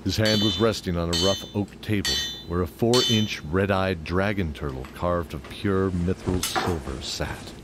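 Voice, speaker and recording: A man's voice: sits low, unhurried, few pauses, heavy background noise. A man narrating a fantasy audio drama in a scripted, dramatic storytelling style.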